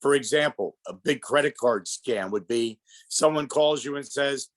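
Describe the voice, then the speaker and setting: A man's voice: mid-range voice, moderate pace. Congested man giving a presentation. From Pennsylvania. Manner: plainspoken, explanatory, addresses audience as folks. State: congested from a head cold. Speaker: guest speaker on elder fraud. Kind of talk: public presentation.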